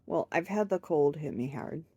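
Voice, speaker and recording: A woman chatting casually to camera about her crochet projects, hoarse and stuffed up from a cold, rambling and laughing at herself. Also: low-pitched, expressive, moderate pace, dark tone, no echo, highs cut off. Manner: rambling, self-deprecating with frequent laughs. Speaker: crochet vlogger.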